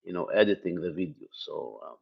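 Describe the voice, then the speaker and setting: Brief: male tech host from Lebanon, native Arabic speaker, lowish voice, loose live-stream chat full of "uh" and restarts, muffled lo-fi audio. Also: lively intonation, brisk, creaky, breathy edge. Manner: rambling, many fillers and restarts. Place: home in the US Midwest.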